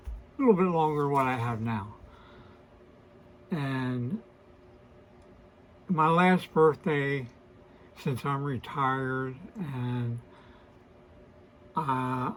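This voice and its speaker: A man in his sixties with a hoarse, weakened voice, hosting a casual to-camera vlog and talking in a hesitant, apologetic way with many fillers and restarts. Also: medium pitch, slight background noise. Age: 60s. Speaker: host of a beard channel.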